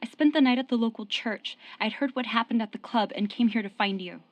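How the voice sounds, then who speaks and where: An actress in a radio drama playing a loyal, forgiving young sweetheart with a firm streak. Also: female voice, on the high side, brisk, no room sound, lo-fi sound, natural voice. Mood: devoted, forgiving.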